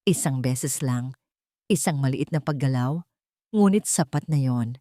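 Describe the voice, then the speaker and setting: Low-voiced woman narrating a dramatic audiobook. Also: dark tone, no echo, studio quality. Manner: solemn, dramatic, deliberate phrasing. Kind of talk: scripted dramatic story narration.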